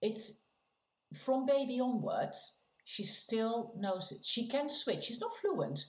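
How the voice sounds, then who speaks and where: A woman's voice: fairly high pitch, big pitch swings, slow. A woman from the Netherlands, a podcast guest chatting casually and emphatically in short bursts. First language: Dutch. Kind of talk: casual chat. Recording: echoey, muffled room sound.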